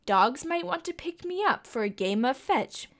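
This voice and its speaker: A woman's voice: high voice, animated, normal speed, a bit bright. A young woman reading a picture book aloud to children, with a sing-song, coaxing way of asking the listeners questions. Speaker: children's storytime reader.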